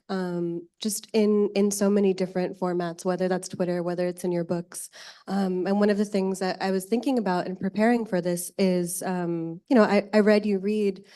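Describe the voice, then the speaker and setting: A woman moderating a panel discussion, asking questions in a soft, hesitant way. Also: mid-range voice. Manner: soft-spoken, hesitant with fillers.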